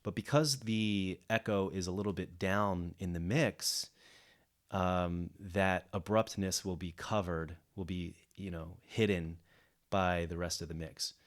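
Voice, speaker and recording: A man with a low voice talks through a music production tutorial. He explains things off the cuff, often restarting and rephrasing his phrases as he thinks aloud. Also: fairly even intonation, unhurried. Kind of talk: explanatory software tutorial, unscripted. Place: indoors, voice-over of a screen recording.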